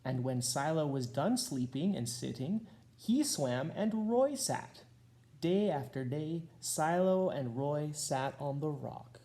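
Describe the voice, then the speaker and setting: A woman with a deep, mellow voice reads a children's story. She takes it slowly, line by line. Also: animated. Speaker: school staff member reading aloud. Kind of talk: children's storybook read-aloud.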